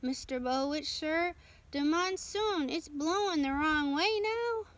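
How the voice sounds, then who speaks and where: Woman playing an anxious, high-pitched young sailor. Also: slow, fairly bright tone, no echo. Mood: worried. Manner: hesitant, respectful. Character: anxious, deferential young male ship's hand. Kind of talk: children's storybook read-aloud.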